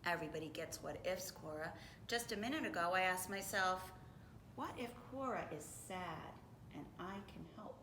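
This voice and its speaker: Lady doing a sweet supportive kid from a picture book, gentle and reassuring, echoey room, bit of noise. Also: fairly low pitch, lively intonation, moderate pace, close to an everyday voice. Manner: gentle, encouraging. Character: kind, reassuring young girl, a caring friend.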